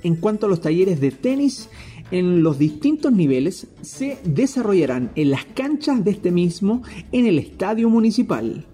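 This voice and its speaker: Lively, mellow woman narrator. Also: mid-range voice, moderate pace. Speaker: narrator of a recorded report. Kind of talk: scripted informational narration. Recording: music behind the voice. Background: faint music.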